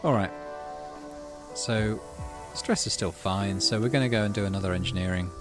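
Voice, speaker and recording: A man's voice: on the low side, normal speed, music in the background. A man hosting a game playthrough, thinking aloud casually and narrating story text. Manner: thinks aloud, hesitant fillers. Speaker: let's play host.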